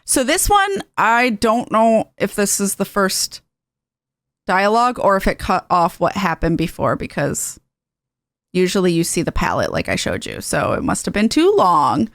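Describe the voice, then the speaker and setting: A woman's voice: medium pitch, big pitch swings, unhurried, dry recording. A woman giving casual game commentary as she reads through cutscene dialogue. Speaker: video game player reading cutscene dialogue aloud. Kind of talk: game commentary with voiced dialogue read-alouds.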